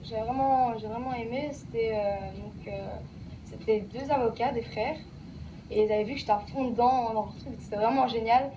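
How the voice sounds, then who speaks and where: A woman's voice: on the high side, steady tone, normal speed, distant mic, background noise. A young woman, a former student, speaking casually in a radio interview with many fillers about what she learned. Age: young adult. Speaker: alumna, guest.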